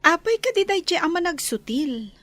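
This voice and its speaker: An actress with an Ilocano accent playing a sweet, playful young village sweetheart in a radio drama. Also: female voice, very high voice, very animated, moderate pace, fairly bright tone, no room sound, exaggerated voice.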